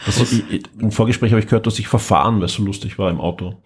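A man's voice: on the low side, racing. Hesitant man moderating a casual podcast. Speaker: podcast host leading the discussion.

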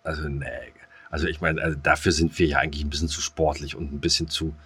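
A man's voice: rumbling low, level tone, fast, fairly bright tone, breathy edge, dry recording. A man co-hosting a podcast in casual banter, colloquial and teasing with a mock-serious streak. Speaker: podcast co-host.

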